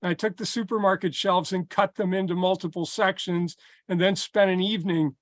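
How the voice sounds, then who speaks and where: A man with a high voice walks through his hobby build in an easy, explanatory way, with an occasional filler. His voice sounds dry and close, with little room sound. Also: no echo. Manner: relaxed, explanatory, some fillers. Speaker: hobbyist presenting his model. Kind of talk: casual show-and-tell explanation.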